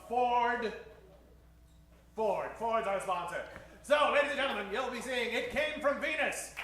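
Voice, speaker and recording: Male radio-show host, high voice, tongue-in-cheek announcer delivery, live stage, distant from the mic, clearly noisy recording. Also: very echoey. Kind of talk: theatrical old-time radio announcer addressing a live audience. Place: echoey venue in front of a live audience.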